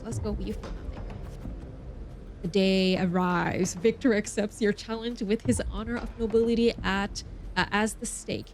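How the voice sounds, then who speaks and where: A woman game streamer reading story text aloud, stumbling over words now and then and breaking off into expressive asides. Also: mid-range voice, a little hiss or hum. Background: music.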